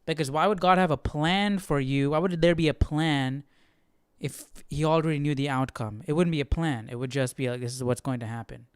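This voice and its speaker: Smooth-voiced man thinking aloud, dry sound. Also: fairly high pitch, a little fast, no room sound. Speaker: host of a Bible study stream. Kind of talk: casual live commentary, reading aloud.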